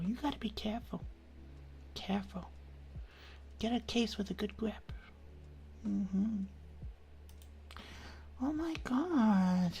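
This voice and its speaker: A woman hosting an ASMR live stream, chatting casually and affectionately to viewers in a soft, breathy voice that often drops into a whisper. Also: fairly high pitch, a little hiss or hum. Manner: affectionate, intimate, uses pet names.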